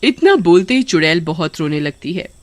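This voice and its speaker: A woman's voice: on the low side, very animated, moderate pace. A woman narrating a scripted story expressively, as a storyteller.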